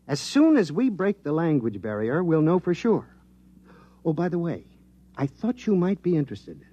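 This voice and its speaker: An actor playing an eager, absent-minded government scientist in a 1950s radio drama, explaining things in an animated way. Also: male voice, fairly high pitch, normal speed, dull audio. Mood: pleased, matter-of-fact.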